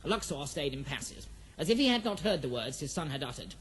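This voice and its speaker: A man's voice: on the high side, very animated, fairly quick, fairly bright tone, a little hiss or hum. A man playing the storyteller of an epic fantasy audio drama, giving formal, dramatic scripted narration. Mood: dramatic, measured.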